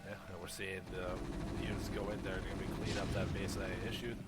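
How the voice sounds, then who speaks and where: A man casting a strategy game, giving steady play-by-play in a relaxed way, from a mic set a little away from him. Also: deep voice, fast, background noise. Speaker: esports caster. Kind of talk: live game commentary. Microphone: desk mic set a bit far away.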